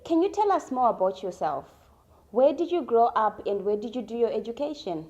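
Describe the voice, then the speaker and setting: A woman's voice: a little high, expressive, fairly quick, darkish tone, muffled recording. A woman hosting a talk show, opening with an upbeat scripted intro and asking interview questions. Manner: upbeat, promotional.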